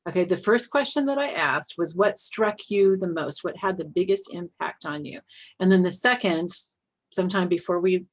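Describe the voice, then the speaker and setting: A woman's voice: fairly low pitch, normal speed. A woman co-hosting a Bible study discussion, talking casually and candidly. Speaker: Bible study co-host and group leader.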